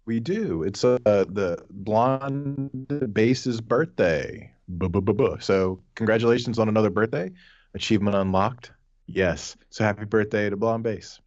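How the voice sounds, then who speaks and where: A man's voice: lowish voice, measured pace, darkish tone, no echo, lo-fi sound, soft background music. A man chatting casually as a panelist on a podcast.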